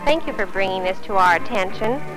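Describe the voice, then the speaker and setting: An actress playing a polite, formal American telephone company service representative in a 1950s training film. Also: female voice, medium pitch, animated, a little fast, lightly acted, rough recording. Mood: polite, businesslike. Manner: courteous, formal, carefully phrased. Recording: 1950s film soundtrack, music behind.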